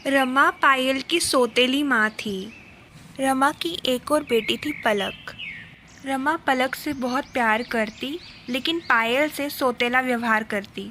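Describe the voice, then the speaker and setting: A woman's voice: high-pitched, slow pace, pushed and bright, a little hiss or hum. A woman reading a scripted children's story as its narrator.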